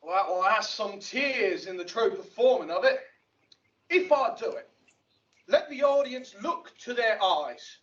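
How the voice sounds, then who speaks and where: An actor playing a conceited, eager weaver who declaims pompously in a Shakespeare audio drama. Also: male voice, high voice, lively intonation, unhurried, a bit bright. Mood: self-important. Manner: pompous, bombastic, theatrical declaiming. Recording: dull lo-fi audio drama playback, distant.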